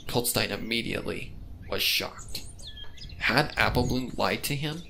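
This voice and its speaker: A man's voice: mid-range voice, unhurried, creaky. A man reading fan fiction aloud as a scripted narrator. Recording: heavy background noise with birdsong.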